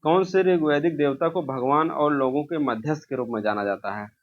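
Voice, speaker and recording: A man's voice: a little high, brisk. A man teaching an informal exam review, asking questions and answering them himself, repeating key answers. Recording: dull, lo-fi sound.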